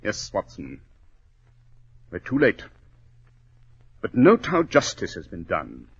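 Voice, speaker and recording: An actor playing a grave, composed gentleman detective, solemn and measured, in 1940s radio style on a muffled recording. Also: fairly low pitch, wildly swooping pitch, husky, full character voice.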